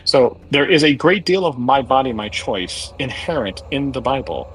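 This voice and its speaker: A man's voice: mid-range voice, normal speed, husky, low-quality recording. A man in a live debate who argues earnestly with frequent stammering restarts. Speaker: debate participant.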